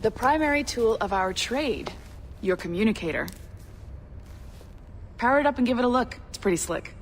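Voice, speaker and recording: A woman's voice: on the high side, expressive, moderate pace, a little hiss or hum, lightly acted. A woman playing an upbeat, friendly studio guide in a video game, talking in an encouraging way. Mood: upbeat, welcoming. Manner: encouraging, slipping into French greetings. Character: upbeat, friendly female studio guide welcoming a new hire. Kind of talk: scripted video game acting.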